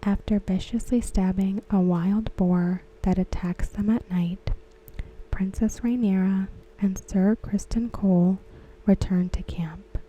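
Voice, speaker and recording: A woman whispering softly and slowly as she recaps and discusses a TV episode for an ASMR video. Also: mid-range voice, steady tone, poor audio. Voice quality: breathy. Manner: slow, soft whispering. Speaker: ASMR creator. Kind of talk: soft-spoken ASMR recap.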